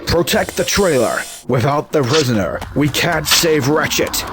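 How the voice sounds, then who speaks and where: A man's voice: a little high, lively intonation, unhurried, very noisy. A man playing an earnest, plain-spoken robot squad leader in an audio drama. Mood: urgent. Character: earnest, principled robot hero leading a squad.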